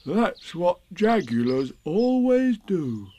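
A man voicing a slow, placid, simple-minded toy bear from a storybook, speaking in a solemn, deliberate way. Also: high-pitched, very animated, mellow, voice pushed up, exaggerated voice. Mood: placid interest.

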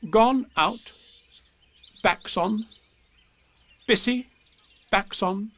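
A man voicing a brisk, self-important rabbit in a storybook, with a curt and impatient manner. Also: high voice, a bit bright, slight background noise, pitched above the performer's own voice, exaggerated voice. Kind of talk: storybook character reading.

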